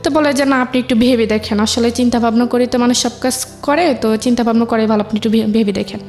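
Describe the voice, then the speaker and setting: High-pitched woman telling her story. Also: fairly even intonation, fast talker, darkish tone. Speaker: interview guest. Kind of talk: personal storytelling, recounting conversations. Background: background music.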